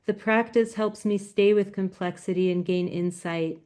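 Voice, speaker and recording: A soft-spoken woman gives a presentation as a guest speaker. Her voice is quiet but smooth and clear, at a middle pitch for a woman. It carries the slight thinness of a video call. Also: fairly even intonation, moderate pace. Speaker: guest speaker, graphic novelist.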